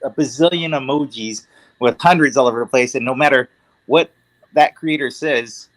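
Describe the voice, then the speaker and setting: Bright-voiced man rambling in casual chat. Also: mid-range voice, moderate pace, no echo, poor audio. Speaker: livestream guest.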